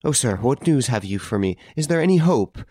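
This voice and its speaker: A man's voice: a little high, huge pitch swings, normal speed, darkish tone, no echo, voice pushed up. A man voicing a polite, anxious old lady who pleads earnestly in an audiobook. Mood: pleading. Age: older.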